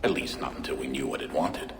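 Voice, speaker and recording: A stern, deep-voiced father. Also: quick pace, roomy sound, big-room sound, noisy background. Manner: calm, firm and explanatory. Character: stern, measured middle-aged father. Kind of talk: video game cutscene acting.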